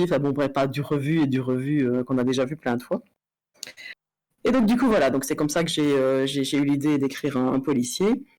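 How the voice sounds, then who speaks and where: A woman's voice: sits low, a little mellow. A Belgian woman with a Belgian French accent being interviewed about her writing, talking casually with lots of fillers, restarts and laughs. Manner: hesitant, many fillers and restarts; laughs often, light and chatty. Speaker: interviewed writer.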